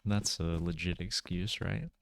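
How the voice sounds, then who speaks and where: A man's voice: sits low, fairly even intonation, a little slow, vocal fry, slight background noise. A man giving casual gameplay commentary, thinking aloud in a hesitant, musing way. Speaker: video game player and commentator. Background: music.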